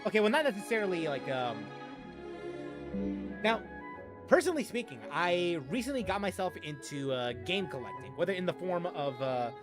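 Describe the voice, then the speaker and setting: A man's voice: high voice, big pitch swings, moderate pace, music in the background. A young man hosting a gaming channel, talking casually and rambling with many fillers and restarts. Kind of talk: casual unscripted vlog talk.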